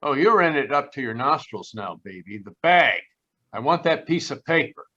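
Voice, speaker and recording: A man's voice: mid-range voice, big pitch swings, normal speed, pushed and bright. An actor playing a sneering, menacing older little gunman in a 1940s-style radio noir drama. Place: home room, live video call performance. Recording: dull, lo-fi video call audio.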